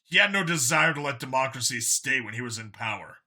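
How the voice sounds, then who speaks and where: A grim human cop, read by a man, quiet and firm, with a very crisp, forceful edge to the voice. He is grave and morally resolute, as heard in a sci-fi audiobook. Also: medium pitch, expressive, a little fast, full character voice.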